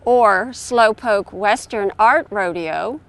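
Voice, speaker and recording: A woman's voice: medium pitch, slow. An older woman delivering scripted promotional lines as a gallery owner. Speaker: gallery owner presenting an event.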